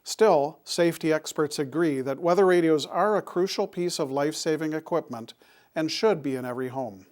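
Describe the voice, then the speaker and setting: A man presenting scripted public safety information in a deliberate, instructional manner. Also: a little high, darkish tone.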